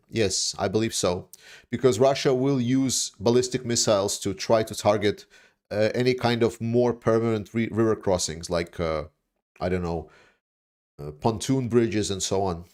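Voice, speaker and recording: A man from Denmark hosting a livestream, answering viewer questions in casual, blunt commentary, haltingly and repeating words for emphasis. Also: medium pitch, a little mellow. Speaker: livestream host.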